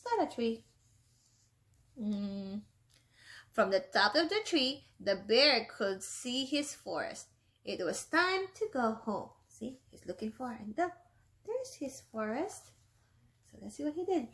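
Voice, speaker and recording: A woman with a fairly high voice reads a storybook aloud to a class of young children, taking her time over every line. She is a teacher who often stops to explain a word or ask the children what they see. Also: big pitch swings, takes it slowly, long pauses, a bit bright. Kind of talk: reading a picture book aloud to children.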